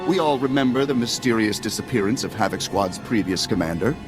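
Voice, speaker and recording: An actor playing a scheming, self-righteous politician, speaking in a pompous, oratorical way in a video game. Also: male voice, fairly low pitch, expressive, a little fast, lightly acted. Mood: accusing, oratorical. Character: scheming, self-righteous senator, secretly a traitor, male. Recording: music behind the voice.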